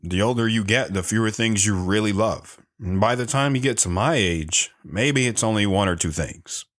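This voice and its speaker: A man's voice: lowish voice, normal speed, no room sound, clean studio sound, close to an everyday voice. An actor playing an older, reflective, world-weary man telling his life story in an audio drama. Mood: plain, reserved.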